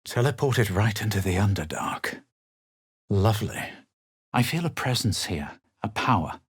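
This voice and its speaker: A low-voiced, self-assured young fantasy hero for a video game. He speaks with measured superiority and a husky edge, as if every phrase were written out. The sound is close and dry. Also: animated, no room sound. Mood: dry, wry. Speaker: video game player-character voice actor. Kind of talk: scripted game voice lines, narration and quips.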